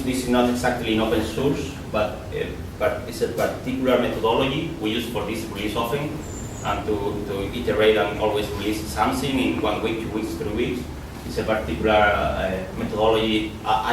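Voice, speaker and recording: Spanish accent guy giving a talk, kinda low voice, super echoey far-off hall sound. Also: fairly even intonation, fairly quick, few pauses, noisy background. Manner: casual, repeats and restarts words, rambling asides. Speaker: conference speaker. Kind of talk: conference talk. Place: lecture hall.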